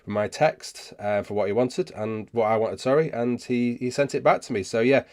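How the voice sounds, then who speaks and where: A man hosting a casual live question-and-answer session, speaking haltingly and often rephrasing himself as he goes. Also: mid-range voice, fairly even intonation, a little mellow. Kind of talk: casual livestream chat, answering viewer questions.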